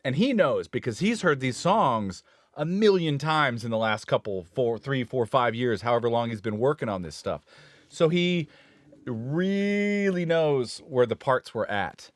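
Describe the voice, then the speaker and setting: A man's voice: medium pitch, lively intonation, unhurried, no room sound. A man talks shop about mixing boards and microphones in an easygoing, rambling way, often circling back to correct himself. His voice sits in an ordinary middle register. The sound is dry, with little room around it. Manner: rambling, self-correcting, enthusiastic about gear. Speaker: studio engineer co-host. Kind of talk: casual live-stream gear chat.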